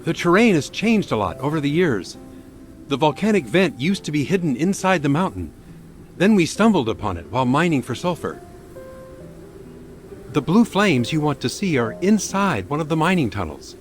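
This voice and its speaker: An old miner guide from a video game, with a fairly high male voice and lively, varied intonation. He gives matter-of-fact warnings, with music playing behind him. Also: normal speed. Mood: matter-of-fact, cautioning. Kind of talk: scripted game voice acting.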